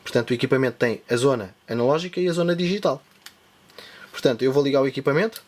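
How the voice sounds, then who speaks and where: A man's voice: medium pitch, quick pace. European Portuguese man explaining device setup. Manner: step-by-step explaining while demonstrating. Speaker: tech channel host. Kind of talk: hands-on device tutorial.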